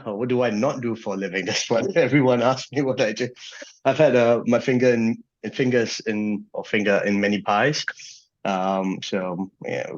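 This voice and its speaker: A man's voice: fairly low pitch, lo-fi sound. A man from Singapore in his thirties, a guest telling his story in a casual interview, full of restarts and fillers. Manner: rambling with many restarts and fillers.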